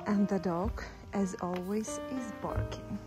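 A woman's voice: medium pitch, fairly even intonation, slow pace, music in the background. A woman in her thirties vlogging her house renovation, chatty and cheerful, filming on a phone at arm's length. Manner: chatty, cheerful, laughs often. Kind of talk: casual vlog narration. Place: outdoors on a lakeside hill, then an empty house under renovation. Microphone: phone held at arm's length.

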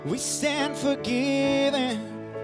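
A woman singing a slow worship song on a handheld mic in a large church sanctuary. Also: high voice, steady tone. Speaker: worship singer. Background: acoustic guitar and band.

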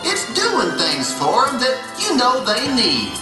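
A performer voicing a patient, folksy animal puppet in a children's religious show, explaining gently with a drawl and dropped endings. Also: medium pitch, wildly swooping pitch, slow pace. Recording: echoey hall, distant mic, music behind.

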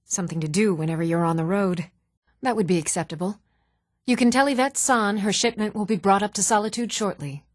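A composed townswoman of a fantasy realm, acted by a woman, speaks in a measured, even tone at a middling pitch. The lines are scripted video game dialogue, recorded very dry with no room around the voice. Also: dry recording, lightly acted.

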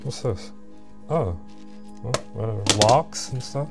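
A man's voice: medium pitch, expressive, measured pace, creaky, loud music behind the voice. A man casually wondering aloud about what he finds while exploring an abandoned factory. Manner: laid-back, wondering aloud, rambling. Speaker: urban explorer host. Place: abandoned factory building, indoors.

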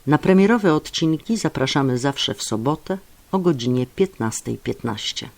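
Guy doing radio announcer credits, gravelly creak in a mid-range voice. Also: medium pitch, lively intonation, moderate pace.

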